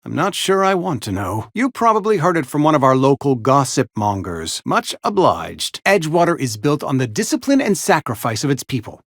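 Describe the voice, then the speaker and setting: A prim, mournful frontier barber and undertaker from a video game, loyal to his company. His voice sits a little high and swings expressively up and down. He talks in florid, over-polite, formal sentences, in a dry, close recording. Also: very animated, normal speed, hardly any pauses, a bit bright, no room sound, full character voice. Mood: sheepish, formal. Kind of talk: theatrical video game character acting.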